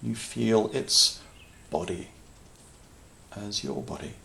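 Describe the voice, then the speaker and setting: Really slow gravelly guy doing a calm guided meditation, long pauses, soothing, soft bird and nature sounds behind. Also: lowish voice. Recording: nature ambience with birdsong behind voice. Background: natural sounds, birds.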